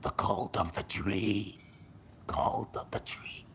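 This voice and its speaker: A man playing a rattled tourist witness in a video game, halting and colloquial as he gives his account. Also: low voice, fairly even intonation, gravelly, slightly rough, in a whisper, exaggerated voice. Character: rattled male tourist recounting a frightening attack. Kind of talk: naturalistic video game acting.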